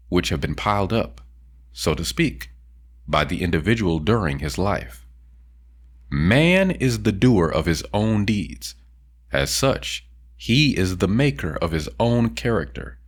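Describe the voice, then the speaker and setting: A man reading a philosophical audiobook in a measured, emphatic way with deliberate pauses. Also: on the low side. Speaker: audiobook reader. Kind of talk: scripted audiobook narration of a philosophical text.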